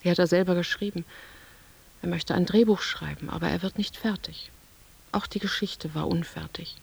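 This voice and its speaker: A woman's voice: on the low side, fairly even intonation, moderate pace, creaky, darkish tone, husky, exaggerated voice. An actress playing an anxious, withdrawn woman who broods in terse fragments, sometimes dropping toward a whisper, in a literary radio drama. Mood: brooding. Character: anxious, withdrawn younger woman lost in inner thoughts.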